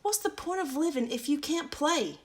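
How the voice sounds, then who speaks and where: Bored little storybook princess, plaintive and high, from a female reader. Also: lively intonation, normal speed. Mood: questioning. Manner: whiny, plaintive. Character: young princess, bored and wanting to play.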